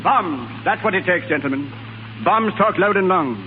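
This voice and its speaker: High-pitched militant rebel, old radio. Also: male voice, lively intonation, normal speed, phone-quality sound, soft background music. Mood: menacing, fervent. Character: militant Irish rebel, vengeful, hot-headed, defiant. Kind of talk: 1930s radio drama acting. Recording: thin 1930s radio broadcast, faint noise.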